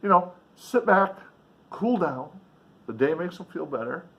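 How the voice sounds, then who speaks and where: A man's voice: fairly high pitch, sing-song, a little slow, muffled recording. Man reading a classic novel aloud expressively. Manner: dramatic, emphatic reading broken by offhand asides. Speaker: reader and commentator. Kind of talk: expressive book reading with casual commentary.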